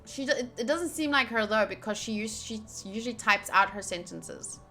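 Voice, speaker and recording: A woman's voice: a little high, moderate pace, pushed and bright. A young woman giving casual, chatty playthrough commentary, reading game text aloud and tossing in joking asides. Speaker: streamer doing a game playthrough. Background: game music.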